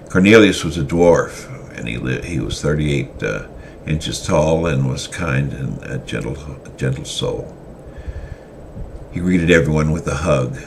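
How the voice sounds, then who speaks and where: A man narrates a story in a semi-dramatic reading, often stopping on hesitant fillers as he goes. His voice sits extremely low, with a gravelly fry. A faint background noise stays under the whole recording. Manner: unhurried reading with frequent hesitations.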